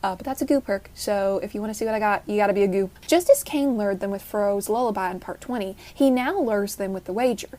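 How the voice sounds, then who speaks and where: A woman with a mid-pitched voice gives prepared commentary as a fan. She is earnest and articulate, leaning on key words for emphasis. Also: quick pace, hardly any pauses, dark tone. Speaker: listener sending a voice message.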